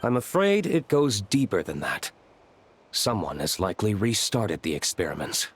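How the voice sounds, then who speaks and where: Low-voiced, sober investigator. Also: male voice, big pitch swings, slightly rough. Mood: grave. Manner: grave, formal, deliberate. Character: sober, analytical, suspicious male investigator. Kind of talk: video game voice acting.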